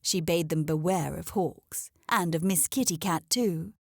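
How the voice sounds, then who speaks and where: A woman reading a scripted children's story aloud as an expressive narrator. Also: lowish voice, unhurried, creaky, no room sound, clean studio sound.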